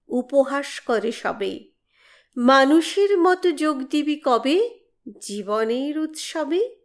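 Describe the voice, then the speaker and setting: High-voiced woman reciting poetry, slow and declamatory. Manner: declamatory, exhorting, measured phrasing. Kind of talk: dramatic Bengali poetry recitation.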